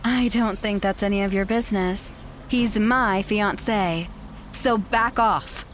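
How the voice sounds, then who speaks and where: A woman performs a possessive con-artist fiancée in an audio drama, young, smug and condescending. She talks down to people in a medium-pitched, haughty voice with clipped dismissals. There is faint noise behind her. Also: slow, close to an everyday voice. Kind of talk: naturalistic audio drama acting.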